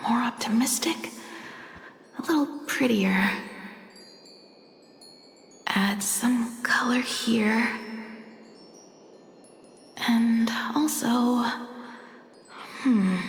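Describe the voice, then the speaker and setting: Soft, wistful young painter, reverberant. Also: female voice, on the high side, a little hiss or hum. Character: young female hospital-bound painter, wistful, dreamy, strong-willed. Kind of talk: video game voice acting.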